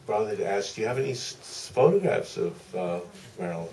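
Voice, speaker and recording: Very deep-voiced man, halting, off-mic. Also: very animated, dark tone. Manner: halting, deliberate, frequent pauses mid-sentence. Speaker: film director, panel guest. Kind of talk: anecdotal Q&A answer. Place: theater stage at a public Q&A. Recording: distant mic, faint room noise.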